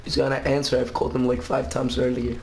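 A man's voice: mid-range voice, completely flat delivery, fast, very noisy. A man hosting casual gaming commentary with friends, his voice nearly gone. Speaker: YouTube host playing a video game and prank calling. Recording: loud music over the voice. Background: loud music, phone dialing tones.

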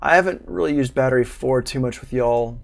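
Casual, gravelly-voiced man teaching. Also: medium pitch, fairly quick. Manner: informal, unscripted, thinking aloud. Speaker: online music production instructor. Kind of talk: casual software tutorial. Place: small room at home, indoors, livestream.

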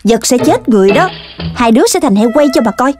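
A woman's voice: fairly high pitch, big pitch swings, a little fast, music in the background. A woman with a Southern Vietnamese accent voicing an exasperated, strict mother dog who scolds emphatically in a children's cartoon. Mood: stern warning.

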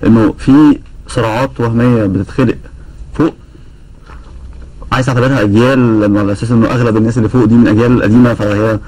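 A man's voice: medium pitch, steady tone, very fast, pauses often, darkish tone, poor audio. A man around thirty with an Egyptian accent, a talk show guest who hesitates as he gives his view. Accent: Egyptian Arabic. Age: 30s. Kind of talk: political talk show discussion. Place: TV studio, indoors. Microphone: lapel mic.

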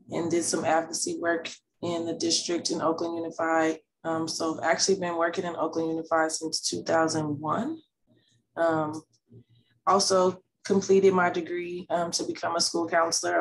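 A woman principal in her forties speaks in a steady, even tone over a headset mic, her voice gravelly. She sounds matter-of-fact. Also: on the low side, rough recording. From California. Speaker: school principal. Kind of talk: self-introduction on video call. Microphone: headset on video call.

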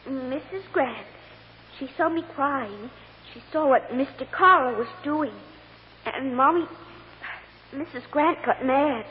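A woman's voice: high voice, slow, pauses often. An actress playing an innocent, earnest little American girl giving simple, hesitant testimony in a vintage radio courtroom drama. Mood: upset, earnest. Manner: simple, hesitant childlike answers. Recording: muffled old radio recording, echoey, faint hiss.

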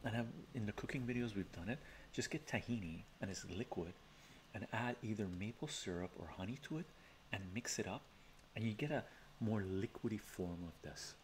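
A middle-aged man from Canada hosting a relaxed ASMR live stream from his home study, chatting softly, chuckling, often adding "right?". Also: on the low side, fairly quick, a little hiss or hum. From Canada, of Armenian descent from Iran. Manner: soft-spoken, chuckling, chatty asides with frequent "right?". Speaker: live-stream host reading chat. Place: home study lined with bookshelves. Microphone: webcam framing at desk.

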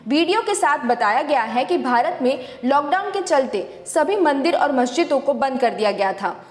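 A woman's voice: sits high, animated, moderate pace, reverberant, long reverb tail. A woman narrating a scripted news explainer as the presenter of a fact-check video.

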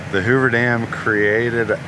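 A man's voice: mid-range voice, steady tone, slow pace. A man in his thirties chatting casually to a camera held at arm's length as a travel vlogger. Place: inside a camper and outdoors. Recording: clear background noise on camera mic.

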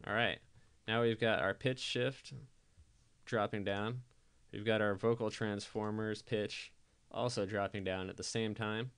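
A man giving a casual software tutorial voice-over, explaining things informally step by step. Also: lowish voice, smooth. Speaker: tutorial presenter.

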